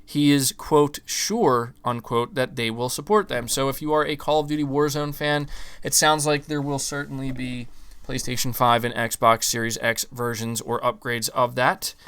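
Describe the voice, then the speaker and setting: A young man hosting a gaming podcast, ranting in casual talk with some swearing, his voice starting to go hoarse. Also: medium pitch, fairly even intonation, moderate pace, a bit bright. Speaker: podcast host.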